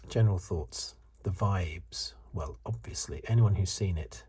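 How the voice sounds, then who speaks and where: Male, 50s, ASMR host: very breathy, hushed, deep voice, halting off-the-cuff musing. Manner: hesitant, halting, unscripted musing. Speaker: host of an ASMR video channel. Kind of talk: soft-spoken whispery ASMR commentary.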